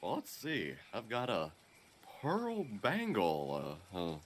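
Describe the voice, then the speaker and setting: A man's voice: on the low side, huge pitch swings, takes it slowly, exaggerated voice. Guy doing shifty awkward game merchant, hesitant flirty salesman, very up and down, slight noise. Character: shady, awkward, flirty male traveling merchant.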